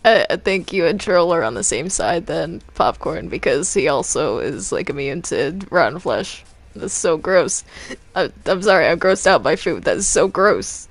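A woman's voice: low voice, animated, unhurried. Exclamatory woman gamer in casual roleplay. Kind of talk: casual in-character gameplay chat.

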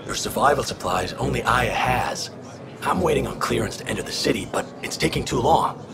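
Gruff-grained male space pilot: heavy creak, terse, insistent, game dialogue over busy crowd ambience. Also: mid-range voice, lively intonation, fairly quick. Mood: impatient. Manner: terse, matter-of-fact, insistent. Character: businesslike, impatient male supply pilot in space. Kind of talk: video game dialogue acting.

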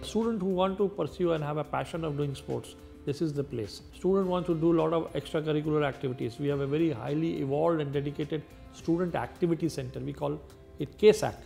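A man's voice: a little high, fast, creaky, dark tone, soft background music. A middle-aged man with an Indian accent, a university official explaining in an interview.

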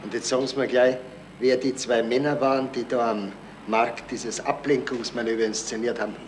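An actor playing a dogged, sardonic police detective in a radio crime drama, blunt, sceptical and insistent. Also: male voice, mid-range voice, level tone, normal speed, close to an everyday voice. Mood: sardonic pressing. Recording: noisy 1970s radio drama recording.

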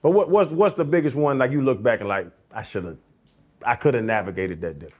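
A man's voice: medium pitch, fast talker, lo-fi sound. A man co-hosting a podcast, asking loose, rambling, slangy questions in an indoor studio. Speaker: podcast co-host. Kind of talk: casual interview questions. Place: indoor studio set with plants.

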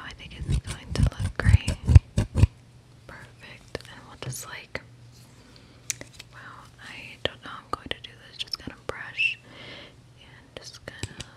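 A woman's voice: takes it slowly, pauses often. Casting: young woman, whispered ASMR personal attention, casual reassuring delivery, close-miked in a small room. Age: young adult. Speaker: ASMR creator playing a hairdresser. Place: small room indoors at home. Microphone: close desktop condenser microphone.

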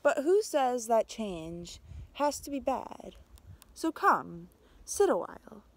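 A young adult woman reading a picture book aloud outdoors, with a measured, expressive storytelling delivery. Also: fairly high pitch. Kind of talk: storybook read-aloud, narrated as a tree. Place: outdoors in snowy winter woods.

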